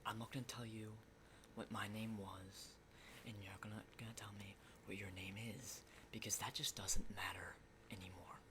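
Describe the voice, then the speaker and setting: Over clearly audible noise, a jittery, hardened male survivor of a zombie apocalypse played by a man speaks in a breathy, slightly low whisper. He is halting and insistent, repeating himself like someone out of practice with people, in an ASMR roleplay style. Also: little pitch movement, lightly acted. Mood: blunt, intense. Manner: halting, repetitive, insistent, often checking with "okay?". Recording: clearly noisy close whisper recording.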